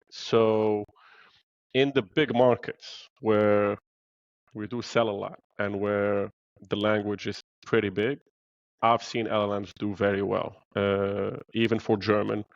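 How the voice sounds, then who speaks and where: A man from Morocco speaks as a podcast guest, explaining his view earnestly with many small fillers. His voice sits on the low side, and the recording sounds dull and lo-fi with almost no room sound. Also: no room sound. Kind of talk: podcast interview.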